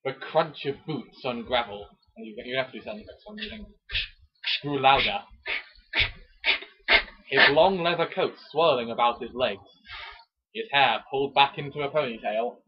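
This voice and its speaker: A man with a very husky, breath-roughened voice reads romance prose in a theatrical, mock-serious style. He often steps out of the narration to make jokey comments. The recording is dull and lo-fi. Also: mid-range voice, animated, moderate pace, pauses often. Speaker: amateur reader and commentator. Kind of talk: mock-dramatic reading of a romance novel with joking asides.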